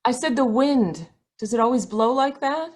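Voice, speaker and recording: A woman acting anxious submissive wife, fairly high voice, swooping intonation, plaintive, radio play. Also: animated, normal speed. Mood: plaintive, uneasy. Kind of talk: old-time radio drama acting.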